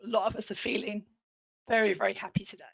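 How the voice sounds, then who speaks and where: A woman trainer with a hoarse, croaky throat, leading an online teaching session in an upbeat, encouraging way. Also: mid-range voice, flat delivery, fast, fairly bright tone, poor audio. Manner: upbeat, encouraging, clear explaining.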